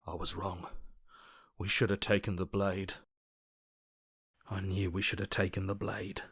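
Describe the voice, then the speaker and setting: An earnest hero, low-pitched. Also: male voice, level tone, measured pace, a bit bright, husky, no echo, clean studio sound, full character voice. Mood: alarmed, regretful. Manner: earnest, reasoning, concerned. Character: earnest, cautious heroic man, conscience-like inner voice. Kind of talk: dramatic video game voice acting.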